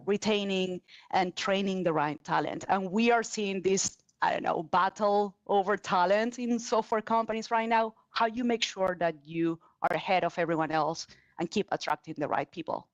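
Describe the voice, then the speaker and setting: A woman's voice: mid-range voice, moderate pace, no room sound. A woman asking questions as a financial analyst over a video call. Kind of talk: prepared questions on a conference call. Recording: dull, lo-fi video call audio.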